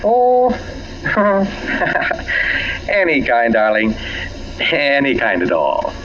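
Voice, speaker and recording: An actor playing an easygoing, slightly evasive young husband, casual and hesitant, in 1940s radio drama style. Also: male voice, mid-range voice, huge pitch swings, very slow, heavily acted. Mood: distracted. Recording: muffled, noisy 1940s radio recording.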